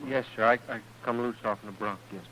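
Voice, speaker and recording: A meek, resigned drifter cowhand, acted by a man with a fairly low voice and rural Western drawl. He speaks hesitantly and with deference. Faint noise of an old radio drama surrounds him. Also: steady tone, fast talker, fairly bright tone, close to an everyday voice. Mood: meek, evasive. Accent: American rural Western drawl. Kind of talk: 1950s radio western acting. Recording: noisy old radio recording.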